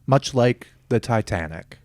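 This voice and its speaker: A man co-hosting a podcast in casual chat, with dry, deadpan teasing. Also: medium pitch, measured pace, no room sound. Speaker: podcast co-host.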